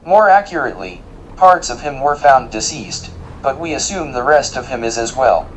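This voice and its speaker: A man playing a polite, literal-minded robot guide in an audio drama, speaking in a formal, precise and deadpan way. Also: mid-range voice, moderate pace, slight background noise, natural voice, poor audio.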